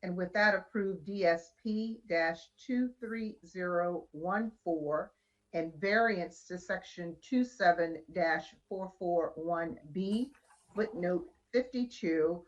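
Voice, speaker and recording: A woman's voice: lowish voice, slow, lo-fi sound. A woman speaking as a board commissioner, making formal remarks at a public meeting.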